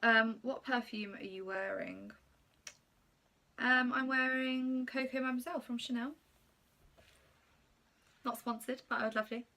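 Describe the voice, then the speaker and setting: A woman's voice: fairly high pitch, fairly even intonation, measured pace, creaky, pauses often, pushed and bright. A woman in her twenties with Polish as her first language, chatting casually as she reads out and answers get-to-know-me questions with plenty of ums. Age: 20s. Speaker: YouTuber.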